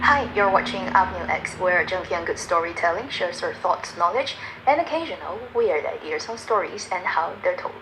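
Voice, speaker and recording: A woman presenting her own video essay, explaining film dialogue in a measured, scripted way. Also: lowish voice, expressive, background noise. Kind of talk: scripted narration.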